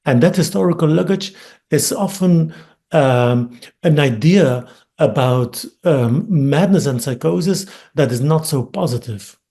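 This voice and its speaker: A man with a Flemish Dutch accent gives a webinar talk in a dark, gravelly voice. He speaks thoughtfully, pausing on ums and restarting phrases as he searches for words. The sound comes through a computer mic on a video call. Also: on the high side. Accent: Dutch-speaking Belgian. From Belgium. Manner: thoughtful, hesitant with frequent ums and repeated words. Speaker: academic speaker. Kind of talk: webinar lecture.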